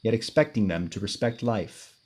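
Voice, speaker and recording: A man playing a grave, brooding and commanding crime fighter with a secret identity, earnest and measured in 1930s radio drama style. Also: lowish voice, expressive, darkish tone, slightly rough. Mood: brooding, solemn.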